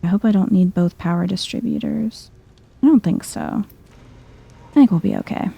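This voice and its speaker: A soft-spoken woman giving hushed, chill ASMR-style video game commentary, thinking aloud to herself. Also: mid-range voice, normal speed, gravelly, husky.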